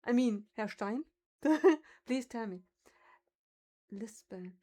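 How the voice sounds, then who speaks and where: Slow-talking German-accented woman streamer. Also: on the high side, lively intonation, mellow. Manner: chatty, muses over words aloud. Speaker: livestreamer. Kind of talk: casual livestream chat.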